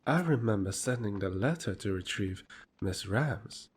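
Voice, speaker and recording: A man performs a scheming fantasy nobleman in a lowish voice. He is pompous and honey-tongued, laying on mock courtesy like an audio drama villain. Faint noise sits behind the recording. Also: lively intonation, a little slow. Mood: smug, silky. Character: smug, scheming aristocratic male rival lord, honey-tongued.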